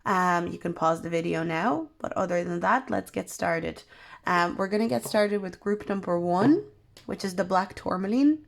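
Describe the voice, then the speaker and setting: A young woman reading tarot cards for her viewers, her voice worn and hoarse from being unwell, talking in a soft, meandering way with many pauses. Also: fairly low pitch. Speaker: tarot reader. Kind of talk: pick-a-card tarot reading.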